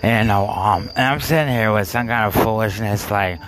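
A man's voice: lowish voice, moderate pace, background noise. A man telling a rambling personal story, full of fillers, restarts and bursts of laughter at himself. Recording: music playing behind.

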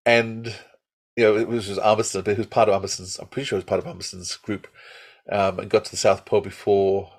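A man with a slightly low voice talks as a podcast host in casual review mode. He is enthusiastic and rambling, often interrupting himself to start a thought again. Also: little pitch movement, quick pace.

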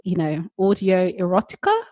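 A woman's voice: lowish voice, wildly swooping pitch, moderate pace, darkish tone, no echo, studio quality. A woman co-hosting a podcast, chatting casually with many fillers. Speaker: podcast co-host. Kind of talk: casual chat.

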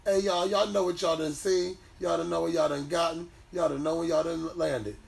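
A man's voice: on the high side, fairly even intonation, moderate pace, vocal fry, over a noisy crowd. An American man co-hosting a podcast with playful, slangy banter. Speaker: podcast co-host. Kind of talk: casual banter. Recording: slightly distant mic, faint noise.

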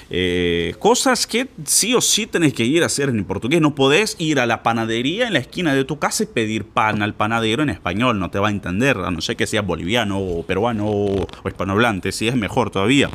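Man, casual language teacher, very expressive pitch, slangy, pushy, talks straight to the listener. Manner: informal, insistent, slangy, addresses listener directly. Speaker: Spanish teacher for learners. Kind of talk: casual spoken lesson.

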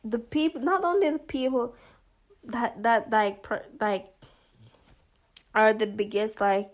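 A woman vlogger giving casual commentary in a monotonous voice, hesitant, with many fillers and restarts. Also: on the high side, takes it slowly.

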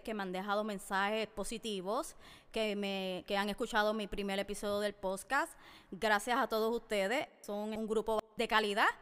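A woman's voice: on the high side, fairly bright tone, smooth. A woman in her thirties with a Puerto Rican accent, hosting a personal podcast monologue and addressing listeners directly and emphatically. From Puerto Rico. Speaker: podcast host. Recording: long reverberant hall echo.

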